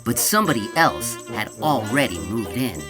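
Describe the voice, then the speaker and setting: A woman reading a children's story aloud as a narrator, in a deliberate and expressive way. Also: very deep voice. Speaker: storybook reader. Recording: music behind the voice.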